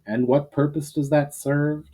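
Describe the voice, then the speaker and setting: A man's voice: a little high, steady tone, unhurried, dark tone, slightly rough, voice pushed up. A man voicing a proud, skeptical prince in a fantasy storybook, with a formal, begrudging, grumbling manner. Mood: questioning.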